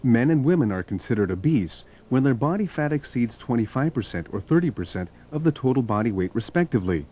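Slow-paced male documentary narrator. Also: medium pitch, lively intonation, mellow, a little hiss or hum. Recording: dull lo-fi video soundtrack. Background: background music.

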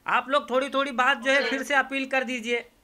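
A man's voice: very high-pitched, flat delivery, brisk, bright, forceful tone. A man reporting on location into a handheld microphone, interviewing and talking to camera with repetitive phrasing. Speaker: field reporter. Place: small crowded indoor office.

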